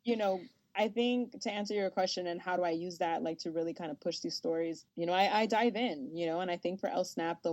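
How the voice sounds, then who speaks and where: A young woman of Salvadoran family who grew up speaking Spanish first, telling stories in an animated, chatty way with many fillers, as the guest in an interview. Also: lowish voice, dry recording. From United States, Salvadoran family. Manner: animated storyteller, lots of fillers and laughs. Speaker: guest, sports journalist and host. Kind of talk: casual interview talk.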